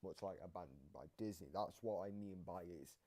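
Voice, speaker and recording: A man hosting a reaction video, casually explaining game lore in a halting way that often trails off. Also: sits low, warm tone.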